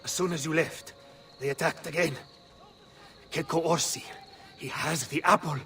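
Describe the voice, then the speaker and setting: Higher-voiced rough Renaissance soldier. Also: male voice, steady tone, moderate pace, sharp tone, soft background music. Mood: urgent. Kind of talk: dramatic video game cutscene acting.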